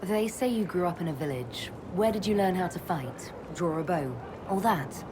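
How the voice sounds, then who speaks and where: Woman acting female leader, video game, measured, dry, lowish pitch, audible ambient noise behind. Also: expressive, crowd noise, close to an everyday voice. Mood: curious, composed. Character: composed female military leader questioning her companions. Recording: clear background ambient noise.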